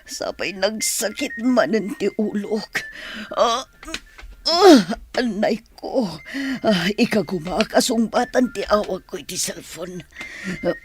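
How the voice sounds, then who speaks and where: A woman's voice: mid-range voice, lively intonation, slow, faint music behind. A woman acting an ailing mother in an Ilocano radio drama, pleading and groaning in pain.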